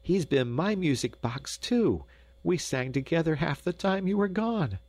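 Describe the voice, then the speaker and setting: A man's voice: fairly high pitch, expressive, a little slow, a little mellow, dry recording, voice pushed up. A man voicing a cheerful, teasing little peasant girl in a storybook, with a playful manner. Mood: laughing.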